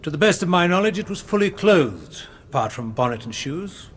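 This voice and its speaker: A post-mortem doctor in a period radio play. He testifies formally and precisely, in a measured manner and a slightly high voice. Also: very animated. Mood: grave. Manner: careful, precise. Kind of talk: period courtroom radio drama.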